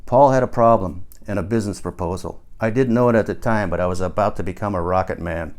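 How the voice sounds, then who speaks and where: A man's voice: low-pitched, quick pace, a little mellow. A man in his sixties reading his own book aloud as first-person audiobook narration.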